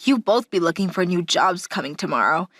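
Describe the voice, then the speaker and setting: A woman's voice: lowish voice, animated, a little fast, a bit bright, dry recording, studio quality. A woman playing a confident, direct company auditor in an English manga dub. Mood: cool, triumphant. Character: self-assured, teasing female company auditor.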